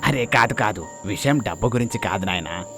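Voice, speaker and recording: A man voicing an older roadside eatery owner, fatherly and cautioning, with a gentle warning manner. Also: on the low side, lively intonation, brisk, music in the background, lightly acted. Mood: grave warning.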